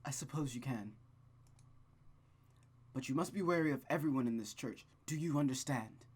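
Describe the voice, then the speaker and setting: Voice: medium pitch, moderate pace, a little mellow, slightly rough, close to an everyday voice. Someone voicing a condescending, controlling mother. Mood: smothering, reproachful. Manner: condescending, cloying. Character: controlling, belittling mother, coldly doting.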